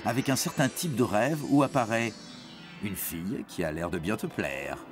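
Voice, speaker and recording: A man's voice: fairly low pitch, sing-song, moderate pace. A man giving scripted educational narration for young teens in a reassuring, explanatory manner. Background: background music.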